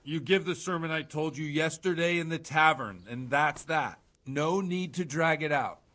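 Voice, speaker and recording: Hungover, high-pitched village priest. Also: male voice, moderate pace, pushed and bright. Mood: brisk, conspiratorial. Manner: groaning, dramatic, coarse-mouthed. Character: hungover lecherous village priest, scheming and panicky. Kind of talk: video game cutscene acting.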